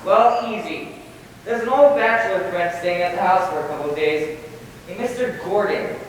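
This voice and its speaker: Man acting high-pitched eager schoolboy schemer. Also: moderate pace, recorded from a distance, close to an everyday voice. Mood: scheming, eager. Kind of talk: 1940s-style radio comedy stage acting. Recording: echoey hall from afar, rumble, faint noise.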